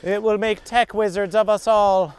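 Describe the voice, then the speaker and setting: A comedian co-hosting a podcast, chatting with wry jokes while walking an outdoor mountain trail. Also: male voice, high voice, steady tone, normal speed, a little hiss or hum. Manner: wry, joking. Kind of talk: casual chat on a hike.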